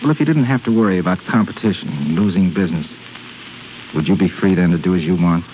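A man's voice: deep voice, fast talker, lightly acted. An actor playing an earnest, proud, heartsick young man in a 1930s radio drama. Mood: troubled, hopeful. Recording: noisy narrow-band 1930s radio broadcast.

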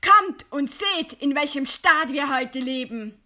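A proud, defiant woman intellectual in a radio play. Her voice is high and forceful, and she declaims slowly, with eloquent scorn for her executioner. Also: animated, exaggerated voice. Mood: crying out. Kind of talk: theatrical radio play acting.

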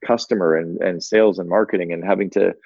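A man in his fifties hosting a podcast interview, upbeat and talkative, quick to agree with his guest. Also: low voice, fairly even intonation, gravelly, darkish tone, muffled recording, slight background noise. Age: 50s. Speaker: podcast host. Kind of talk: casual interview chat.

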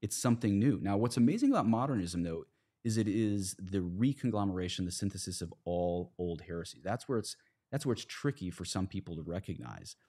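A man's voice: deep voice, big pitch swings, brisk, warm tone. A man speaking as a priest and podcast guest, arguing emphatically and posing rhetorical questions in an earnest discussion of religion.

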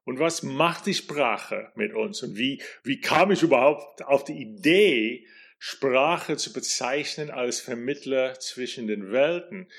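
A middle-aged man from New York with an American accent hosts his own podcast. He speaks very slowly and reflectively, pausing often and filling gaps with fillers. His voice sits at an ordinary middle pitch for a man. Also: creaky, fairly bright tone, breathy edge. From New York, USA. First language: English. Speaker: podcast host. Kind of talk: solo podcast monologue.

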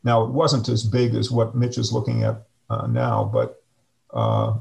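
Gravelly middle-aged man, slow and measured. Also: lowish voice, big pitch swings, a little mellow. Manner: slow, measured, hesitant with many fillers. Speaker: interview guest, district superintendent. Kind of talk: reflective interview over video call. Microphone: video call webcam.

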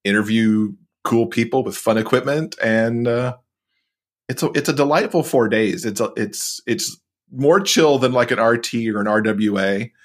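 A man's voice: medium pitch, moderate pace. A man chatting casually as a podcast guest, upbeat and informal, with a fannish enthusiasm. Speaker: podcaster and author, interview guest.

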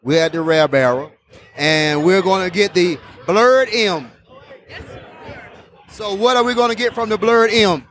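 A man's voice: high-pitched, a little slow, pauses often, a bit bright. A man hosting a casual beer tasting vlog, enthusiastic and repeating his phrases. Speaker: host.

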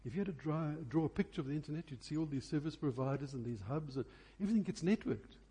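A man's voice: fairly high pitch, animated, fast, mellow, husky. A man preaching a sermon, phrasing emphatically and repeating key words for effect.

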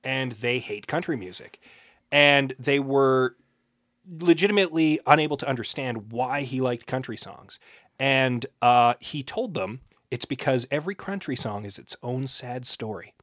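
A man co-hosting a podcast, telling things casually in a halting, self-aware way. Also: mid-range voice, expressive, a bit bright, dry recording, studio quality. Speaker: podcast co-host.

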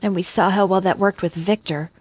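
A man's voice: high voice, fairly even intonation, brisk, dry recording, pitched above the performer's own voice. An indignant young woman telepath fires off emphatic, questioning lines, put on by a male narrator. The voice sits far above his own. It has the close feel of an audiobook reading.

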